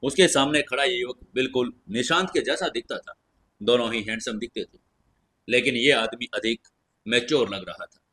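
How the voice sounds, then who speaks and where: Forceful, husky male story narrator. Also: medium pitch, moderate pace. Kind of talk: scripted dramatic storytelling narration.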